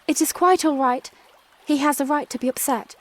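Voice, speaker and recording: A woman's voice: high-pitched, normal speed, natural voice. A woman playing an earnest, dignified young princess with formal, courtly speech in a fantasy audio drama. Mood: sorrowful, humble.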